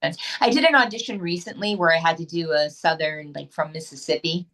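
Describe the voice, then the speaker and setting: A woman with a fairly low voice talks about her acting work as an interview guest. She is chatty and upbeat, and she often stops and restarts her sentences. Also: fairly quick, fairly bright tone. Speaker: actress, guest. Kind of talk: casual interview chat.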